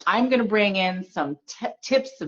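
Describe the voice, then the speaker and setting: A woman hosting an interview, talking in an earnest, conversational way with quick asides. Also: mid-range voice, lively intonation, a bit bright. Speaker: podcast host. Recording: dull lo-fi sound.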